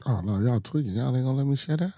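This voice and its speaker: A hoarse man hosting a podcast in loose, slangy casual chat, muttering to himself as he fumbles to share a clip. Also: mid-range voice, expressive, rapid-fire, dark tone, dry recording, studio quality. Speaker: podcast host. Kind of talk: casual live-stream chat.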